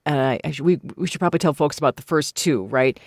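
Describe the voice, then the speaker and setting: A woman's voice: sits low, fast, no room sound, clean studio sound. A woman with an American accent, hosting a broadcast interview. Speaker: radio host interviewing a guest.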